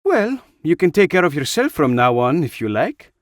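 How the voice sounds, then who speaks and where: A man voicing a brisk, teasing, exasperated older woman in a narrated story. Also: on the high side, sing-song, no echo, studio quality, voice pushed up. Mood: wry, pressing.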